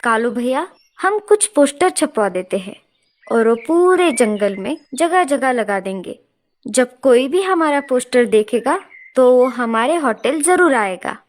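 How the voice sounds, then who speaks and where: A woman's voice: high-pitched, lively intonation, unhurried. A woman voicing a caring, eager little female bird who reassures others in a children's cartoon story. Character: helpful, caring little female sparrow, loyal sister.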